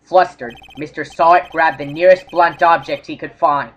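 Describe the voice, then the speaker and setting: An actor playing an earnest, excitable young male defense lawyer with dramatic emphasis in a video game fandub. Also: medium pitch, normal speed, highs cut off, slight background noise, close to an everyday voice. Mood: relieved, explanatory. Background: game music.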